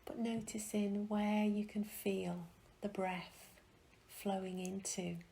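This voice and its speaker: A woman guiding a meditation in a soft, breathy, soothing way, leaving long pauses between phrases. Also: medium pitch, flat delivery, a little hiss or hum. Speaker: meditation guide. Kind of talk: guided meditation.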